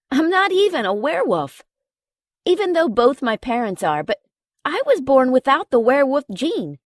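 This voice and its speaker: A woman's voice: a little high, dry recording, clean studio sound. A woman reading a scripted audiobook as narrator, telling a story in the first person.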